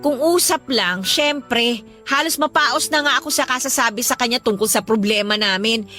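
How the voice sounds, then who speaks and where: A woman's voice: on the high side, moderate pace, sharp tone, soft background music. A woman playing an exasperated young mother and live-in partner in an emotional Filipino radio drama. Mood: troubled, weary. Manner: emotional, scolding.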